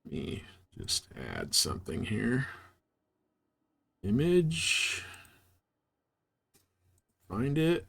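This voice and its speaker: A man streaming a game live, chatting casually and thinking out loud in a rambling way. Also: mid-range voice, huge pitch swings, extremely slow, gravelly, long pauses, husky. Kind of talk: casual gameplay chat.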